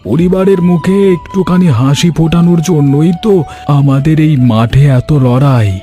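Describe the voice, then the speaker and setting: Man as earnest high-voiced village farmer, mellow. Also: moderate pace, faint music behind, close to an everyday voice. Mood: earnest, hopeful. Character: earnest, humble male village farmer and husband. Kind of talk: Bengali cartoon voice acting.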